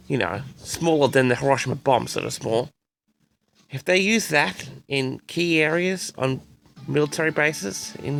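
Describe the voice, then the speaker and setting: A man's voice: on the high side, creaky, a bit bright. A man hosting a personal audio log, rambling casually and hesitantly, often repeating himself as he addresses his listeners. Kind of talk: rambling casual monologue to audience.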